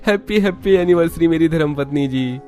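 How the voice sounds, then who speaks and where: A man's voice: on the high side, a little fast, mellow, soft background music, voice pushed up, close to an everyday voice. A man voicing a cheerful, doting young husband in a cartoon story, eager and affectionate. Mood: upbeat, loving. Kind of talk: Hindi cartoon story dialogue.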